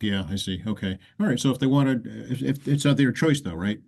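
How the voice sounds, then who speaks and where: A man's voice: mid-range voice, brisk, vocal fry, a little mellow. A man hosting a casual local TV interview, giving brief, friendly prompts and light jokes. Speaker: host.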